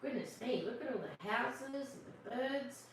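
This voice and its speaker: A woman reading a picture book aloud to children as host, with expressive narration and asides to young listeners. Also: a little high, measured pace. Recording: distant, very echoey room, faint noise.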